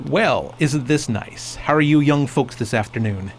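An actor playing a genial, slightly patronising school superintendent in a radio play. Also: male voice, mid-range voice, unhurried, natural voice. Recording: faint background noise.

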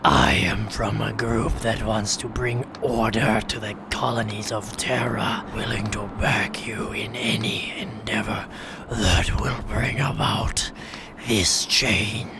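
A man playing a sinister alien agent in a radio drama, persuading in a slow, breathy, menacing whisper. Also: fairly low pitch. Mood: sinister, coaxing. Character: sinister alien agent offering power and conquest. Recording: noisy ambient hiss.

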